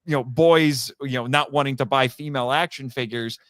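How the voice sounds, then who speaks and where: A man's voice: mid-range voice, a little fast, fairly bright tone, dry recording, studio quality. A man talking casually as a guest on a fan podcast, telling stories and acting out quoted remarks.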